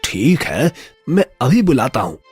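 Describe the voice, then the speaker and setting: Cartoon king, played by a male reader: dignified, kindly, husky, unhurried, light music underneath. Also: mid-range voice, very animated, dry recording, heavily acted. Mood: calm, gracious. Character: benevolent, stately Indian king in a moral story.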